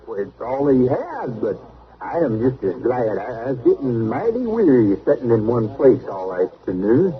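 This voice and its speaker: A man's voice: mid-range voice, very animated, normal speed, lightly acted. Man acting a guileless backwoods trapper, singsong inflection. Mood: matter-of-fact. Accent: rural American. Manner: artless, matter-of-fact, folksy. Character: plainspoken, guileless backwoods trapper, loner younger brother. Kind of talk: old-time radio western acting. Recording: muffled narrow-band vintage radio recording.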